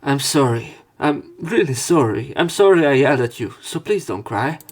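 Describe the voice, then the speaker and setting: A man's voice: mid-range voice, expressive, moderate pace, husky. A man giving casual, sarcastic let's play commentary and reading the game's dialogue aloud, with some swearing.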